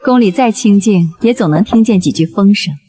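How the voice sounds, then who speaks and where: A woman playing a gentle, caring dowager consort and mother in a historical drama. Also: mid-range voice, moderate pace, faint music behind, natural voice. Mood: tender concern. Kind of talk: dubbed historical drama acting.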